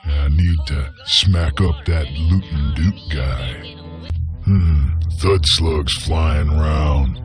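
An actor playing a gruff, laconic Old West bounty hunter in a video game, with a gravelly voice and a Western American drawl. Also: male voice, very deep voice, full character voice. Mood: musing. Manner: slow, terse, menacing. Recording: music behind the voice.

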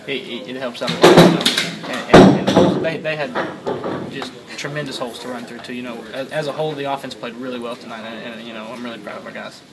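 A young man, a college quarterback, answering reporters after a game, halting with many fillers and repeated words, recorded on handheld mics and phones held close. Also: medium pitch, fairly even intonation, very noisy. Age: young adult. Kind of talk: postgame media scrum. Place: crowded locker-room interview area, indoors. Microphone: several handheld mics and phones held close. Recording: busy crowd chatter behind.

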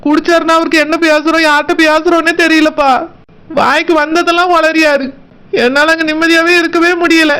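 Woman as a high-voiced aggrieved young village wife. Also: flat delivery, fairly quick, a bit bright. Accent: Kanyakumari Tamil. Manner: plaintive, insistent complaining. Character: aggrieved young married village daughter, fed up. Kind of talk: amateur village cartoon dialogue.

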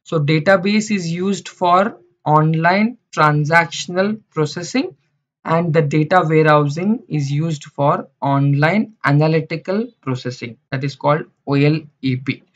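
A man's voice: fairly high pitch, a little slow. A man teaching beginners over slides, repeating phrases with many fillers and restarts. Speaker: instructor. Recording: dull lo-fi sound.

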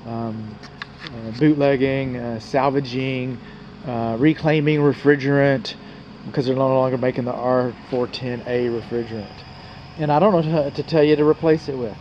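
A man's voice: mid-range voice, a little mellow. Folksy male home inspector outdoors. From North Texas. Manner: folksy, digressive, talks to the viewer. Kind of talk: walkthrough commentary while filming. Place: outdoors beside a house. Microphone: handheld camera mic. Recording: muffled outdoor camera audio with hum.

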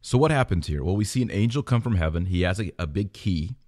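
A man with a very low voice and a nasal, stuffy cold sound hosts a religious teaching podcast. He speaks, casually and with the odd "uh" and restart. The recording is close and dry, with no room sound at all. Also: lively intonation, quick pace, a little mellow, no echo. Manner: conversational, with fillers and restarts. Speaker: podcast host.

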